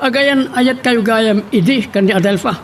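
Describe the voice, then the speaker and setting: A man's voice: very high-pitched, normal speed. A man playing a stern, scheming wealthy boss who presses and commands in an Ilocano audio drama, with an Ilocano accent. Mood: stern, pressing. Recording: clear background hiss and noise.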